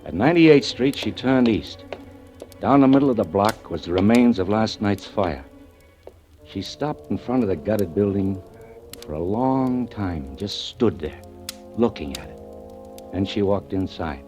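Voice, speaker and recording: An actor playing a tormented fire inspector who narrates in a tense, halting way in a 1940s radio drama. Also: male voice, lowish voice, animated, a little mellow. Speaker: narrator and lead. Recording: old 1940s radio broadcast with music.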